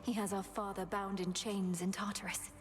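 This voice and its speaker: Actress as young witch princess, video game drama, earnest, formal measured phrasing, medium pitch. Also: level tone, music in the background, close to an everyday voice. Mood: resolute.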